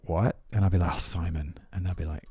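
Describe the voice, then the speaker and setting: A man's voice: low voice, steady tone, fast talker, dark tone, husky, no echo, studio quality. A man hosting a podcast, chatting casually and rambling, often saying "dude". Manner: rambling, spells things out, says dude often. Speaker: podcast host. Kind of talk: casual chat.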